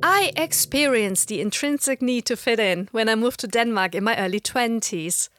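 A middle-aged woman with a slight German accent, giving a measured, explanatory lecture. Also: a little high, a bit bright, soft background music. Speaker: language educator. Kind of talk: scripted lecture narration.

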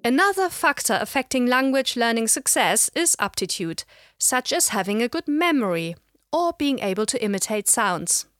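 A middle-aged woman with a slight German accent, giving a measured, explanatory lecture. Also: a little high, big pitch swings, a bit bright, no echo, clean studio sound, soft background music. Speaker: language educator. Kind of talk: scripted lecture narration.

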